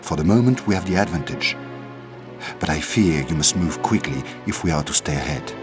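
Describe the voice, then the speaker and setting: Low-voiced adventurer's ally, cautious. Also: male voice, expressive. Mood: cautionary, urgent. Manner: measured, formal, cautionary. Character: worldly older male ally of an adventuress, anxious and cautious. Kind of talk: video game cutscene acting. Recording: game music behind the voice.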